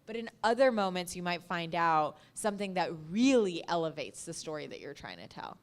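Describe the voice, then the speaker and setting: A woman speaking on a panel as a podcast producer, giving practical advice in measured, emphatic phrases. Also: fairly low pitch, very animated. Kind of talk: panel talk, advice to an audience.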